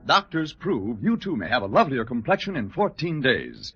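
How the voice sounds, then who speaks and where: A man's voice: mid-range voice, huge pitch swings, normal speed, music in the background. An American man giving an emphatic, instructional 1940s radio advertising read as announcer. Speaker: soap commercial announcer. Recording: dull vintage radio broadcast.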